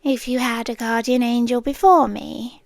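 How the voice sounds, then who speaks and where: A British woman voicing a possessive, obsessive female guardian angel in an ASMR roleplay, speaking softly and often in a whisper close to the mic. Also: a little high, fairly even intonation, normal speed, no room sound, lightly acted. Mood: intense devotion. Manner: soft, intimate, often whispered.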